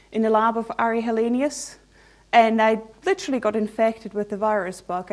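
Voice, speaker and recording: A woman in her thirties with a Swiss accent gives an informal talk at a scientific meeting. She speaks candidly and conversationally, in an average pitch for a woman, and slips in touches of dry humor. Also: darkish tone. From Switzerland. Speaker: researcher giving a conference talk. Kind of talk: informal conference presentation.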